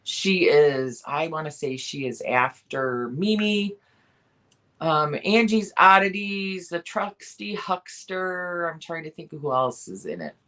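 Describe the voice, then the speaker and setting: A hoarse woman with a cough, hosting a casual live sale and chatting in a rambling way with many pauses and restarts. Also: low-pitched, lively intonation, fairly bright tone. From Michigan, USA. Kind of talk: casual live-stream chat.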